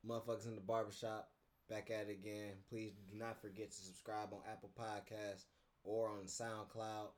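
Laid-back low-voiced male podcast host. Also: little pitch movement, normal speed, darkish tone. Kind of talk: casual podcast chat.

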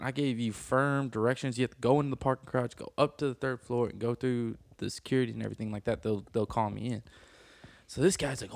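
A man's voice: medium pitch, no echo. Joking man on a dry mic. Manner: joking, acts out voices in stories. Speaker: podcast co-host. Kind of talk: casual chat.

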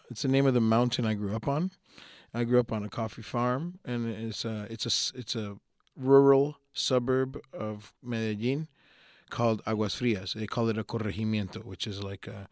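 A man's voice: fairly low pitch, fairly even intonation, normal speed, no room sound. A Colombian-raised man, a musician guest in a radio studio, talking loosely with many fillers and restarts, his English a mix of American accents. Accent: mixed American English. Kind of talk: radio interview.

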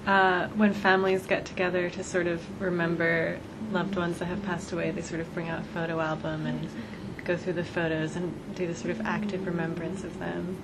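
A woman's voice: lowish voice, flat delivery, fairly quick, hardly any pauses. A woman interviewer offering a thoughtful reflection, hedging often with "sort of". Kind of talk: reflective conversation. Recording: loud background noise.

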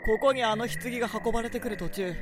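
A woman's voice: on the high side, quick pace, natural voice. A woman playing a haughty, short-tempered young prince in a radio drama, giving brusque, imperious orders. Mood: grudging explanation. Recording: studio drama with background music.

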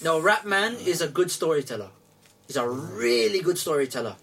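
Higher-voiced young London man, off-mic. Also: very animated, normal speed, creaky, fairly bright tone, breathy edge, far from the mic. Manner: slangy, matey. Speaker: host of a talk show. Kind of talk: casual banter, recounting news stories. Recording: off-mic, background noise and clicks.

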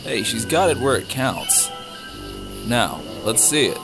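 A man's voice: medium pitch, very animated, slow pace. A man playing a wry, roguish smuggler pilot in an audio drama, casual and cocky. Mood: casual command. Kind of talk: audio drama character acting. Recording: music playing behind the voice.

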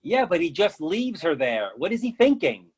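A man's voice: high voice, sing-song, moderate pace, husky, heavily acted. A man acting an eager, oblivious friend with an insistent, earnest manner in a readers theatre comedy. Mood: indignant. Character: eager, oblivious male friend pushing food, stubbornly cheerful.

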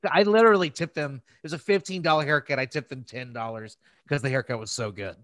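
Man, mid-pitched voice, casual podcast chat, rambling storyteller, profane, dry close sound. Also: fast talker, a bit bright, dry recording. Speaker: podcast host.

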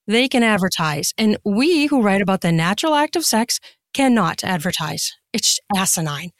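A woman's voice: medium pitch, lively intonation, moderate pace, no echo, clean studio sound. A woman chatting casually and emphatically as a guest in a podcast interview.

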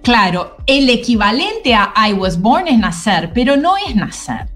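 A woman's voice: fairly high pitch, sing-song, measured pace, a bit bright, faint music behind. A woman teaching an English class on the radio, explaining patiently and often checking in with a questioning "¿no?".

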